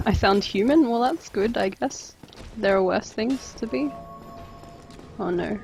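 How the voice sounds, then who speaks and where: A man's voice: sits high, slow, gravelly, low-quality recording. A man with a general Australian accent, giving casual live-stream commentary while playing and reading chat aloud with wry, dry remarks. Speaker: game streamer. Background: game music.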